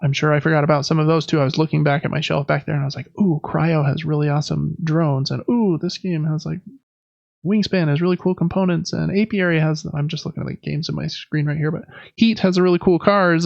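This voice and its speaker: A man with a slightly higher-pitched voice and a creaky edge chats casually as a podcast host. He rambles and backtracks mid-sentence. The sound is dry and somewhat dull. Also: fairly even intonation, brisk, no room sound. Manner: rambling, self-correcting. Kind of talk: casual chat about video and board games.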